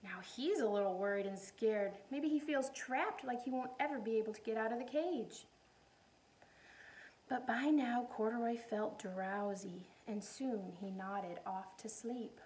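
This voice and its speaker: A woman's voice: fairly high pitch, measured pace, a little mellow, low-quality recording. A woman reading a children's storybook aloud like a teacher, expressively, with questions and simple explanations for her listeners.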